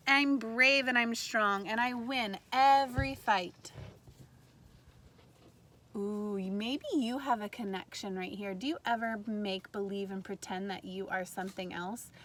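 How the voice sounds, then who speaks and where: A woman teacher reading a storybook aloud to kindergartners in an animated way, pausing to put questions to her listeners. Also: a little high. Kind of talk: read-aloud lesson for young children. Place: outdoors in a backyard tree fort among trees. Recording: faint outdoor ambient noise.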